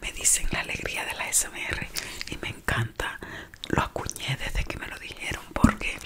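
A woman whispering close to the mic on a playful ASMR live stream, greeting chat viewers affectionately and softly repeating words. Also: normal speed. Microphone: close microphone.

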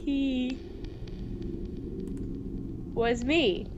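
High-voiced woman reading a game aloud, muffled. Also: fairly even intonation, extremely slow. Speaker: gaming video co-host. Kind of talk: reading visual novel text aloud with casual commentary. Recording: dull, noisy home recording.

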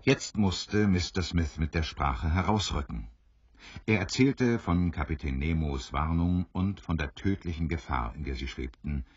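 Very deep, husky male narrator. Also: dry recording, highs cut off. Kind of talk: scripted storybook narration for a radio play.

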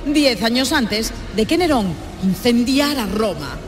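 A woman's voice: on the high side, expressive, measured pace. Lady narrator for a comedy radio play, echoey, music behind her. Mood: narrating. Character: storytelling narrator of a comic time-travel tale. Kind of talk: scripted radio theatre narration. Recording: roomy echo, music behind voice.

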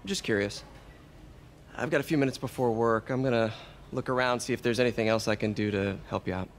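A man's voice: medium pitch, a little fast, slight background noise, lightly acted. An actor playing an earnest, slightly awkward young man, hesitant and sincere, in a naturalistic video game drama. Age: young adult. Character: earnest, awkward young lab assistant and nephew.

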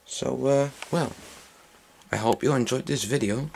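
A man's voice: mid-range voice, unhurried, creaky, a little mellow. A man giving a casual hands-on gadget demonstration indoors, picked up by a camera microphone. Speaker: gadget collector presenting. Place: indoors at a cluttered workbench. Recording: faint background noise.

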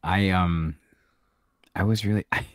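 A man's voice: fairly even intonation, a little slow, dry recording, studio quality. A man co-hosting a podcast, chatting playfully and laughing often while recapping a TV episode. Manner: playful, laughs often, jumps up in pitch for emphasis. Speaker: podcast co-host. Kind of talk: casual chat recapping a TV episode.

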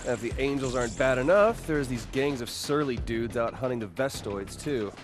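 An actor playing a cocky, sarcastic young superpowered rebel in a video game, with an American accent. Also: male voice, medium pitch, lively intonation, normal speed, lightly acted. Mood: irritated, cocky. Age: young adult. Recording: game music behind the voice.

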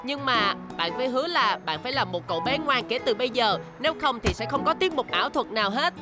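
Woman voicing a high-voiced gentle young cartoon bus. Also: lively intonation, fast, over music, lightly acted. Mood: friendly, firm. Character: kind, patient young red city bus, easily flustered. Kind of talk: Vietnamese dubbed children's cartoon.

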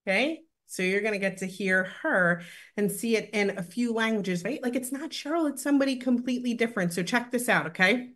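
A woman in her thirties hosting a webinar from home over a video call, presenting a product with excited, gushing talk and many fillers. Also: fairly high pitch, brisk. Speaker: webinar host. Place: home room, indoors. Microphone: video call webcam.

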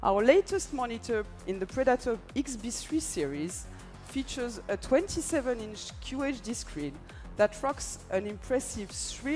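A woman's voice: on the high side, a little mellow. A woman giving a scripted, upbeat product presentation on a large keynote stage. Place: large stage in an arena hall.

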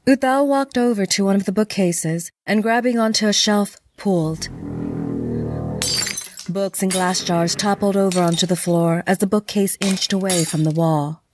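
A woman's voice: mid-range voice, moderate pace, a little mellow, slight background noise. A woman reading scripted narration for an audio drama.

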